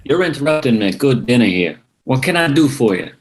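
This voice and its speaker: A man's voice: medium pitch, sing-song, fairly quick, a little hiss or hum, close to an everyday voice. A man playing a cocky, crude mob boss with a brash manner in a screenplay table read.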